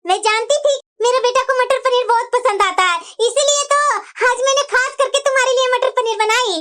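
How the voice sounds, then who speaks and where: An extremely high, bright voice for a possessive cartoon mother-in-law played by a woman. She chatters on insistently, nagging and dismissive, doting on her grown son. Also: fairly quick. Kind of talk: Hindi family cartoon voice acting.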